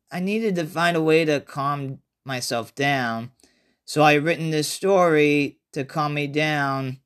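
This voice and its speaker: A man with a fairly high voice hosts a casual solo horror podcast. He speaks very slowly and haltingly, often doubling back to restart a phrase. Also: fairly bright tone, smooth. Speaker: podcast host and amateur horror writer.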